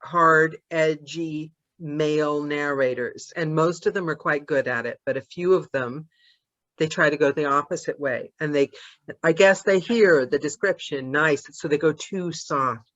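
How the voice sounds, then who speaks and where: A woman's voice: low voice, measured pace. Emphatic woman on dull lo-fi audio. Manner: animated, emphatic, laughs easily. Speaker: co-host. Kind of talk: casual panel talk.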